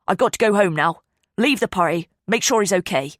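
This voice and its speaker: A woman's voice: mid-range voice, lively intonation, a little fast, no room sound, clean studio sound, close to an everyday voice. A woman voicing an indignant middle-aged mother scolding a young woman.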